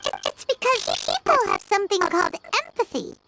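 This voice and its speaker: A woman's voice: very high voice, big pitch swings, a little fast. A woman voicing a tiny, spirited childlike fairy companion in a video game, eager and outspoken. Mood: curious. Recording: game dialogue over loud music.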